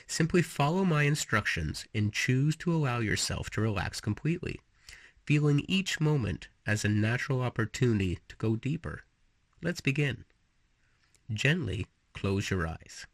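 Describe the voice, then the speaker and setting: A man leading a guided relaxation meditation with storytelling, speaking slowly and soothingly in an instructive way with pauses. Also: mid-range voice, big pitch swings, dry recording, studio quality.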